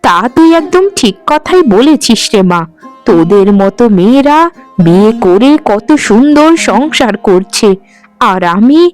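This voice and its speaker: Woman doing a sickly old cartoon mom, meek and sorry for herself, highish voice, soft music behind. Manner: plaintive, submissive. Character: ailing, self-pitying, meek old mother. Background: faint music.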